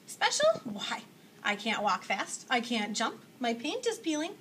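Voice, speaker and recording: A woman's voice: on the high side, big pitch swings, a little slow, fairly bright tone, slight background noise. A woman voicing a timid, self-doubting little male wooden puppet in a storybook, with hesitant, pleading questions. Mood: disbelieving.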